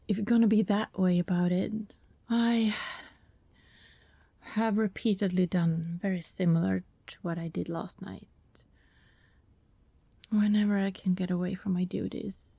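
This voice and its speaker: Soft, breathy vampire woman, acted, speaking slowly. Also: medium pitch, no room sound. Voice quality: soft, breathy, often dropping to a whisper. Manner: hesitant, politely formal wording, sighing. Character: apologetic, anxious female vampire neighbor pleading to be understood. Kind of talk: ASMR roleplay acting.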